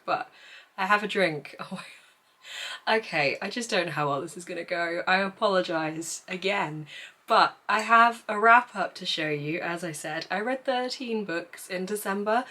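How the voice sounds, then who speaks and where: Croaky woman, hoarse voice. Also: lowish voice, sharp tone. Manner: chatty, self-deprecating, laughs often. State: hoarse, losing her voice. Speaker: book vlogger. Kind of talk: casual book review monologue.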